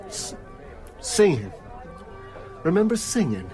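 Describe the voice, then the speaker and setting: An actor playing a sick, frightened small-town working man in a radio drama, speaking in a weary, halting, dazed way. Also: on the high side, huge pitch swings, warm tone, soft background music, heavily acted. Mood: dazed, dreamy.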